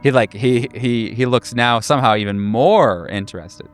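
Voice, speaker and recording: A man running a horror tabletop game, improvising scene descriptions in a casual, halting way. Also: mid-range voice. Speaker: game master.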